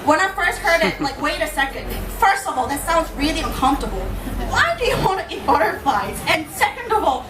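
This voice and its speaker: A woman's voice: high voice, very animated, a little fast. A woman from South Korea, a native Korean speaker with a Korean accent, giving an informal live talk to an audience with rhetorical tag questions. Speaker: public speaker, language teacher. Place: indoor venue in front of an audience. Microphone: camera at a distance. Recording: echoey room, distant camera mic, noisy.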